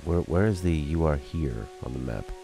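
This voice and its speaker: Deep-voiced streamer, rambling and jokey, game music clearly behind him. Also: male voice, animated, moderate pace, mellow. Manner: rambling, joking, thinks aloud. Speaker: let's play streamer. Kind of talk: casual gameplay commentary.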